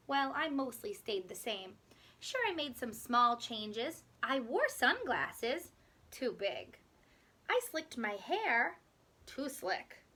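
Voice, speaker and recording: A woman with a high, bright voice reads a picture book to children. She takes her time like a teacher, telling the story expressively and leaving dramatic pauses. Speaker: teacher reading aloud.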